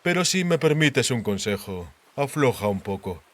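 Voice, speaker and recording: A man voicing a grave, worldly king in an audiobook, speaking in a measured, deliberate, cautionary way. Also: medium pitch, sing-song, no room sound, lightly acted. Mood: grave warning. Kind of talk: audiobook character dialogue.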